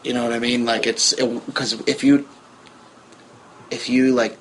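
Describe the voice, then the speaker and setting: Mid-pitched man musing thoughtfully. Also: level tone, measured pace, fairly bright tone, a little hiss or hum, poor audio. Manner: thoughtful, rambling, many restarts. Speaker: podcast host. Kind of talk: casual podcast conversation.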